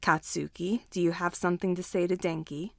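A woman reads as a weary man who teaches daycare in a storybook tale. He is stern, firm and deadpan, recorded close and dry. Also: fairly low pitch, brisk, gravelly, no room sound.

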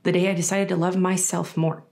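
A young woman with a fairly low voice reads a personal testimonial. Her delivery is deliberate, broken by dramatic pauses. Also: little pitch movement, vocal fry, a little mellow. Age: young adult. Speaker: reader of a survivor's story. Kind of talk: read first-person testimonial monologue. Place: indoors against a dark backdrop.

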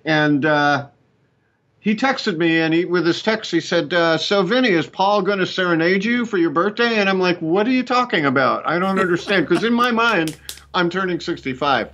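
A man's voice: high-pitched, normal speed, dull audio. A man in his sixties, a musician, chatting and telling stories on a headset over a video call from a room at home. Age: 60s. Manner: animated, chatty storyteller, laughs often. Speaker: musician and co-host. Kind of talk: casual conversation on a video call.

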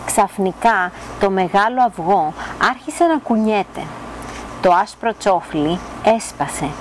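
A young woman reads a children's story in an expressive storytelling style, at a medium pitch. She is recorded outdoors, with some background noise around her. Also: low-quality recording. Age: young adult. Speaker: storyteller reading a children's book. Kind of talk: expressive fairy tale narration. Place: outdoors in a garden.

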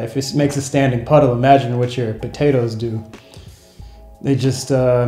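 A young man with an American accent talks casually in an easygoing, lightly amused manner. His voice is soft-edged and mellow. The room adds noticeable echo, and music plays behind him. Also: mid-range voice. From United States. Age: young adult. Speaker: vlogger. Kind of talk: casual chat to camera. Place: small room in a home, indoors.

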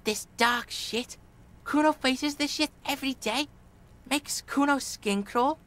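A woman's voice: high-pitched, a little slow, fairly bright tone. A woman voicing a foul-mouthed, cocky young street boy in a game, brash and sneering. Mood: uneasy bravado. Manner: brash, sneering, speaks of self in third person. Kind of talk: video game character acting.

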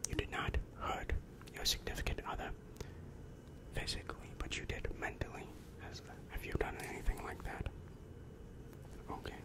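A young man in a whispered, breathy ASMR roleplay as a demon counselor, asking questions softly very close to the microphone. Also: rough recording. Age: young adult. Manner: slow, measured, soft questioning. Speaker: ASMR performer. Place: small dim room indoors. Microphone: large microphone very close to the mouth.

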